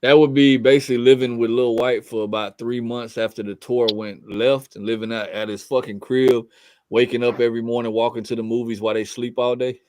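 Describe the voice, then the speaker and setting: A man's voice: medium pitch, flat delivery, fairly quick, a little mellow, dry recording. A man from Florida with a Southern accent, a guest on a casual podcast, telling loose, profane stories full of restarts. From Fort Pierce, Florida. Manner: laid-back, profane storytelling with frequent restarts. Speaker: guest artist.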